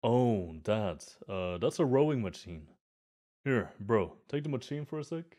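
A man's voice: mid-range voice, very animated, a little slow, mellow. A man playing a friendly, eager gym bro in an ASMR roleplay, chatty and earnest as he explains the equipment.

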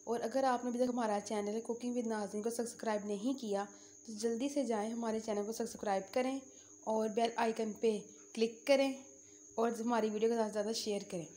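A woman's voice: a little high, fairly even intonation, a little fast, dark tone, a little hiss or hum. A young Pakistani woman hosting a vlog and addressing her viewers. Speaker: vlog host.

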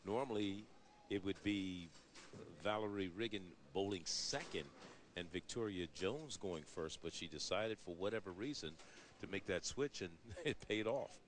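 A man's voice: sits low, normal speed. An American man calling a sports event as a play-by-play announcer. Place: bowling centre during televised championship. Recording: broadcast over loud venue noise. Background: loud bowling venue ambience.

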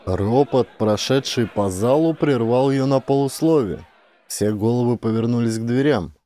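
A man's voice: medium pitch, normal speed, warm tone. A man reading an audiobook as scripted dramatic narration.

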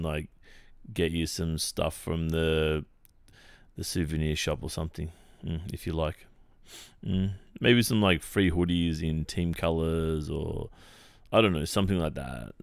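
A man's voice: sits low, creaky, dry recording, full character voice. An Australian man playing a friendly, teasing young football captain in a hesitant, casual ASMR boyfriend roleplay. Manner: hesitant, casual, murmuring hums and chuckles.

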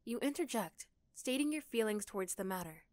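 A woman's voice: on the high side, animated, brisk, a little mellow, dry recording. A woman reading scripted second-person story narration for an ASMR roleplay.

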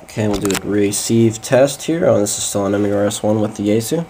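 A man's voice: fairly low pitch, fast talker. A man giving a casual, hesitant hands-on demonstration of radio gear. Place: small indoor room at a desk. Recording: noisy amateur camcorder or phone audio.

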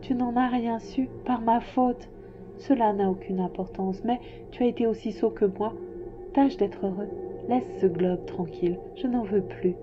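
A woman's voice: a little high, measured pace, muffled recording, over music, lightly acted. A woman voicing a proud, fragile flower in a storybook, tender but touchy, with a coquettish pride that hides sorrow. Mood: tender, regretful.

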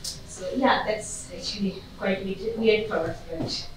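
Halting woman. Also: mid-range voice. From Bangladesh. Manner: halting, frequent "like". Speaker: audience member. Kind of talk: question-and-answer comment. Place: community conference room. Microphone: far camera. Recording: echoey room from far off, faint hiss.

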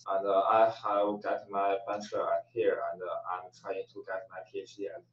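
A man's voice: on the low side, flat delivery, moderate pace, recorded from a distance. A young adult man from China, a student, answering hesitantly with many "uh"s. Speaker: student panelist. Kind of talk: casual panel answer. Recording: muffled, roomy, from off the mic.

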